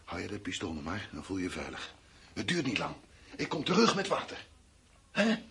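A man's voice: low-pitched, wildly swooping pitch, normal speed, gravelly, fairly bright tone, a little hiss or hum, full character voice. A man acting in a radio play as an impatient, dismissive husband or lover during a war's collapse. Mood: soothing, evasive.

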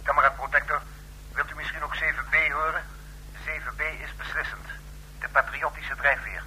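An actor in a radio play voicing an obliging, formal technician who serves a regime leader deferentially. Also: male voice, on the high side, little pitch movement, normal speed, sharp tone. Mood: deferential, brisk. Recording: noisy radio play, slightly distant.

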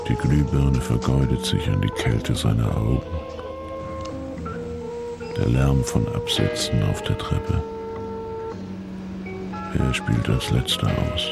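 Very deep, gravelly noir storyteller. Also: male voice, huge pitch swings, slow pace, pauses often, exaggerated voice. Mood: somber, tense. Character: somber male storyteller of a noir crime tale. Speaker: radio play narrator. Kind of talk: literary radio play narration, poetic prose. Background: music behind the voice.

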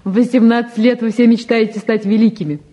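An actress playing a calm young woman in a naturalistic drama, composed and lightly ironic as she probes and teases. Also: a little high, brisk, natural voice. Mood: wry, earnest. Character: calm, gently teasing young woman photographer visiting. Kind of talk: naturalistic stage and radio drama acting.

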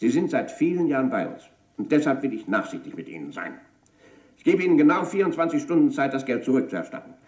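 A man's voice: medium pitch, very animated, fast, darkish tone, lightly acted. Stern, echoey male boss played by an actor. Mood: angry, threatening. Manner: cutting, domineering, commanding. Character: stern, angry male company boss confronting an embezzler. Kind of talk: German radio crime play acting.

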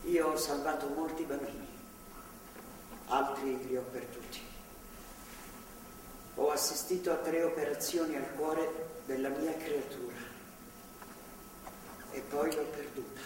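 A woman's voice: low-pitched, steady tone, measured pace, pauses often. An older actress playing a motherly, stubborn, devout captor in a stage drama, speaking earnestly and patiently. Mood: grim, grieving. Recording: echoey hall from far off, clear noise.

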